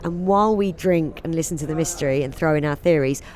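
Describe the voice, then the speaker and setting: A woman, a comedian and singer, chatting as a guest in an interview, laughing often and going off on quick asides. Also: deep voice, a little mellow, a little hiss or hum. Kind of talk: casual interview chat.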